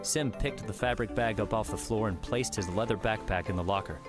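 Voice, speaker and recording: A man narrating an audiobook in a measured, steady storytelling manner. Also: lowish voice. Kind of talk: scripted narration. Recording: music bed under the voice.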